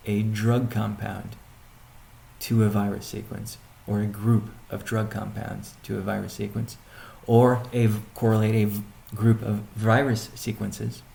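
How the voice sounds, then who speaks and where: A man speaking as a chief executive on a conference call, answering questions in a halting, deliberate way with pauses and restatements, in a roomy recording. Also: fairly low pitch, creaky, warm tone. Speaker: company CEO hosting a weekly conference call. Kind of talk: question-and-answer business briefing. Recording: roomy, echoing sound.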